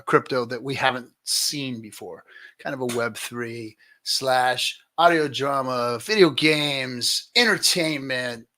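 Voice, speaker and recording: A man's voice: medium pitch, bright, forceful tone. A man hosting a casual online livestream in a hearty showman's manner, with self-deprecating humor. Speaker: host of a weekly online crypto hangout.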